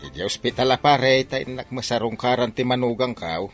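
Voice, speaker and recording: A man playing an older village father in a radio drama, concerned and halting, with conversational exclamations. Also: medium pitch, faint music behind, lightly acted. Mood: matter-of-fact.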